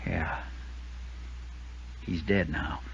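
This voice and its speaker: An actor playing a folksy, excitable male small-town insurance agent with a husky voice in a 1950s radio drama. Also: deep voice, drawn-out words, slight background noise, full character voice. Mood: shocked, grave. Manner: folksy, rustic turns of phrase. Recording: dull vintage radio recording.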